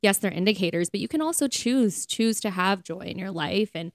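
A woman speaks in a casual, chatty podcast style with an earnest delivery. Her pitch sits in the middle for a woman, and the sound is dry, with hardly any room on it. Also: animated, fairly quick, dry recording. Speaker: podcast co-host. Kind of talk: casual faith conversation.

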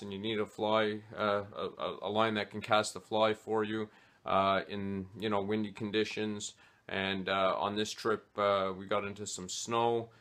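A man in his forties talking casually to a webcam in a small home office, pausing often with "uh" between phrases as he explains his fishing gear. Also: on the low side, a bit bright. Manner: hesitant, many "uh" fillers. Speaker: fly fishing vlogger. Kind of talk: casual gear talk to camera.

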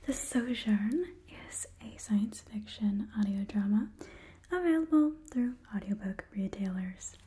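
A woman's voice: mid-range voice, measured pace, dark tone, slightly rough. A young woman reading scripted material in an ASMR whisper, close to the microphone. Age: young adult. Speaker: ASMR creator reading a sponsor message.

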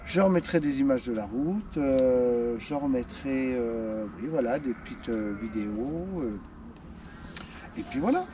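A man in his fifties chatting casually on a camper van travel vlog, relaxed and trailing off with fillers. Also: mid-range voice, a little slow. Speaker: vlogger. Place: camper van cab and roadside outdoors. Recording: distant mic with loud road and engine noise.

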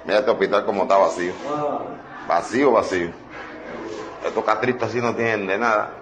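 A man's voice: on the low side, brisk, noisy background. A man mocking the emptiness of a hospital ward while filming it. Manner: scornful, mocking. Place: empty hospital ward indoors.

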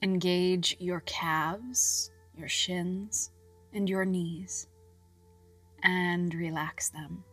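Very slow, soft-voiced woman guiding relaxation. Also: on the low side, fairly even intonation. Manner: soothing, measured, with long pauses. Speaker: guided meditation narrator. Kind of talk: scripted hypnobirthing relaxation guidance. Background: music.

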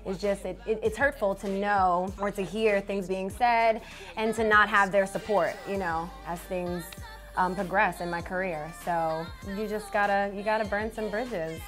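A woman, a singer being interviewed, talking softly and hesitantly with many fillers. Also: medium pitch, steady tone, moderate pace, soft background music. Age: 20s. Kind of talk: casual interview chat. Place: small studio with branded backdrop.

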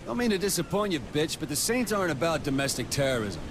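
A man's voice: on the high side, sing-song, quick pace. An actor playing a brash, swearing male gang leader. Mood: defiant. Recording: game audio with loud street noise.

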